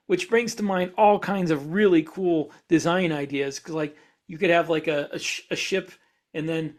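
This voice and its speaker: A man co-hosting a podcast, talking through ideas in a casual, explanatory way as he thinks aloud. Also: a little high. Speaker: podcast co-host. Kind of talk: casual discussion of speculative science for fiction.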